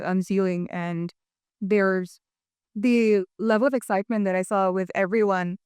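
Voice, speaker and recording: A young woman hosts a panel interview in a clean, dry studio sound. Her voice is clear and sits at a middle pitch. She phrases things haltingly as she moves between remarks and questions. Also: a little mellow, no echo. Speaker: host, interviewer. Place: indoor studio, seated panel on sofas.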